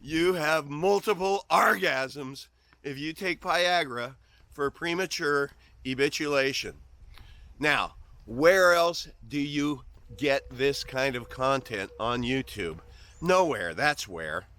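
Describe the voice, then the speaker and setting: A man's voice: fairly high pitch, animated, takes it slowly, sharp tone, no room sound. A man in his fifties with a Midwestern accent, joking through a rambling vlog monologue on a walk outdoors, often trailing off and restarting. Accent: Midwestern American. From American Midwest. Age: 50s. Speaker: vlogger.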